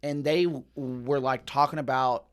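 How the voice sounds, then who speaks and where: A man's voice: mid-range voice, fairly even intonation, unhurried, clear, resonant tone. Chatty podcast host, Texan man. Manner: chatty, laughs often, storytelling. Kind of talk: casual podcast chat.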